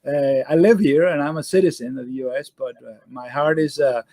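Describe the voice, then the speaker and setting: A middle-aged man from Venezuela, answering interview questions in a halting way, full of fillers and restarts, over a video call. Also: medium pitch, steady tone, a little mellow, no echo. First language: Spanish. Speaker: guest surgeon.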